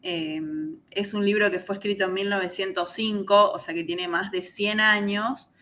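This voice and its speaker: A woman's voice: medium pitch, level tone, moderate pace, a bit bright. An Argentine woman moderating a book discussion on a video call, talking thoughtfully with many fillers. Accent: Argentine Spanish. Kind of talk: slow, thoughtful literary talk.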